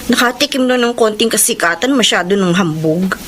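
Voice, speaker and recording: A woman's voice: mid-range voice, expressive, fairly quick, fairly bright tone, slight background noise, close to an everyday voice. A woman with a Filipino accent playing a hurt, long-suffering young girlfriend and assistant in a radio drama. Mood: sorrowful, resigned. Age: young adult.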